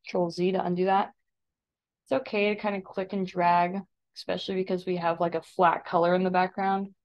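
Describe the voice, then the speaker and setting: An American woman instructor talking informally through a software demonstration, step by step, as she does each action. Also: on the low side, flat delivery, brisk, muffled recording. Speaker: instructor giving a software tutorial. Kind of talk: casual step-by-step screen demonstration.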